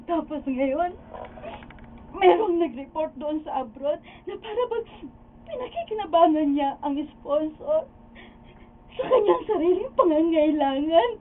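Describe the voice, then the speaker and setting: A tinny, phone-like recording of a radio drama. An actress plays a distressed, devoted wife with a very high voice, speaking slowly in a plaintive, weepy manner. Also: female voice, lively intonation. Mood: tearful, aggrieved. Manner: plaintive, weepy, drawn-out delivery. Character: distressed loyal wife confiding tearfully in a friend. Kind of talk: radio drama acting in Tagalog.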